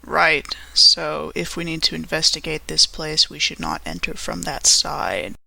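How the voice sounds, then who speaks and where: A woman playing a precise, businesslike doctor turned investigator, wording things formally and deliberately in a tabletop roleplay. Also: deep voice, animated, gravelly, pushed and bright, dry recording, heavily acted, rough recording.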